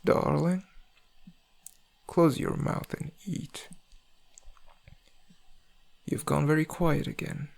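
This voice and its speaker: Smug, seductive male criminal played by a man for ASMR roleplay; husky, slightly higher-set voice, intimate drawl with menace underneath. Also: darkish tone, heavily acted. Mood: teasing. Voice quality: gravelly, husky. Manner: intimate, teasing, drawling, often dropping to breathy murmurs. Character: suave, menacing male thief, flirtatious and smug.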